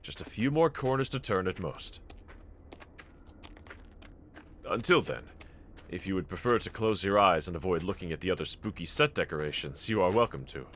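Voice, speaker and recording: A man playing a brave, tender young swordsman in an anime audio roleplay, speaking gently and earnestly to a companion in formal phrasing. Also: low voice, expressive, brisk, a little hiss or hum. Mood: calm, reassuring.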